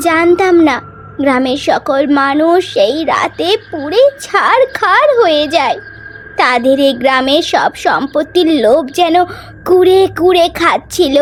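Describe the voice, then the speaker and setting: A woman acts out the ghost of a wronged village woman in a cartoon horror tale. The voice is high, sorrowful and pleading, with some ambient noise behind it. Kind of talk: dramatic horror story acting.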